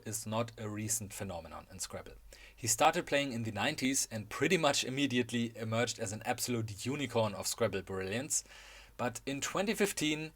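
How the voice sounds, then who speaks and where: A man with a German accent narrating a scripted video essay in a wry, enthusiastic way. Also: on the low side.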